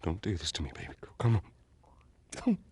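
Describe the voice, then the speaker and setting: Grieving game-drama dad, sobbing and desperate, wide-ranging voice, noisy recording. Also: male voice, sits low, huge pitch swings, normal speed, darkish tone, heavily acted. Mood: anguished pleading. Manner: pleading, urgent. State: crying, voice breaking. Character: desperate, grieving father cradling his dying daughter. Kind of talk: naturalistic dramatic game performance.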